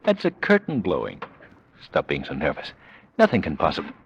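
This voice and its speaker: An actor playing a bold, urbane amateur sleuth in an old-time radio mystery, decisive in manner. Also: male voice, very deep voice, huge pitch swings, fairly quick, lo-fi sound, exaggerated voice. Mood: teasing, confident. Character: suave, bold male amateur sleuth, adult. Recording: old radio recording with faint noise.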